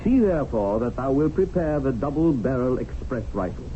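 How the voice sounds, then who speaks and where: Man playing a very mellow-voiced, proper gentleman hunter. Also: mid-range voice, sing-song, normal speed, lightly acted. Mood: polite, unruffled. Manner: clipped, formal, matter-of-fact. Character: proper British Raj gentleman, avid tiger hunter. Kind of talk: old-time radio drama acting. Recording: muffled old radio broadcast, faint noise.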